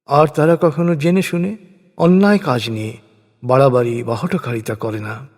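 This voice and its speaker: A man gives a solemn, scripted reading of translated scripture in measured, declamatory phrases. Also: mid-range voice, steady tone, darkish tone. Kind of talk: solemn scripted religious recitation of translated verses. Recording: long hall-like reverb.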